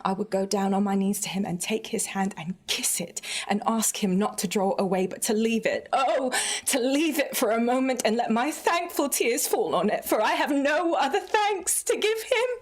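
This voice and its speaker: A woman voicing a timid, humble young woman in a dramatic literary reading, earnest and tearfully grateful, halting as she speaks. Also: on the high side, expressive, pitched above the performer's own voice. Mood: tearful, fervent. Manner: trembling, halting, repeats herself.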